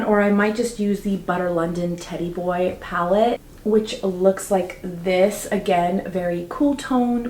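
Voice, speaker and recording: Upbeat beauty vlogger lady, bit raspy from just waking up, kinda echoey room. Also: mid-range voice, slight background noise. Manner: chatty, upbeat, lots of "like" fillers. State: slightly raspy, just woke up. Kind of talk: casual makeup tutorial talk.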